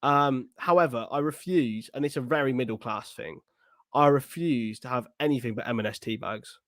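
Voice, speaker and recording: A man's voice: medium pitch, moderate pace, fairly bright tone, no echo, studio quality. A young English man, a student, co-hosting a casual football podcast, laughing easily and arguing back playfully. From England. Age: young adult. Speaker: podcast co-host. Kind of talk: casual banter and football chat.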